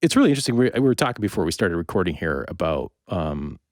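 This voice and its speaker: Podcast host guy, low deep voice, rambly casual questions, really dry recording. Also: sing-song, no room sound. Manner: rambling, halting phrasing that circles back. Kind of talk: casual interview chat.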